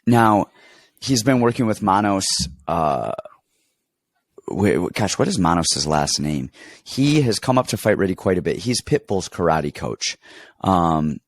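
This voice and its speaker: A man's voice: low voice, very animated, unhurried, no echo. A man co-hosting a casual fight betting chat, congested and rambling, with many restarts as he tells stories. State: congested, getting over an illness. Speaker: podcast co-host and fight coach.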